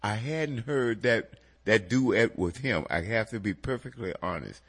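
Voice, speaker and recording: A man with a stuffy, slightly nasal voice hosts a relaxed music radio chat. His pitch swings up and down, he speaks slowly, and his tone is a little husky. He talks straight to his listeners. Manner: animated, addresses listeners as folks. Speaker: radio show host.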